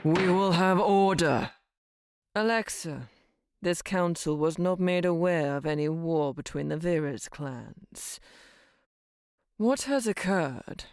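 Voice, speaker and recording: A woman's voice: lowish voice, animated, slow pace, darkish tone, clear, resonant tone, no echo. An actress playing the stern, authoritative female head of a vampire council in an audio drama, speaking formally and with command.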